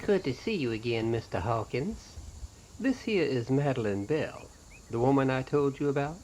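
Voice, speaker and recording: An actor playing a short, polite hillbilly ghost hunter's assistant in an audio drama, folksy and deferential. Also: male voice, mid-range voice, expressive, moderate pace, a little mellow, slight background noise, natural voice, rough recording. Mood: folksy, friendly. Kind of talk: audio drama character dialogue.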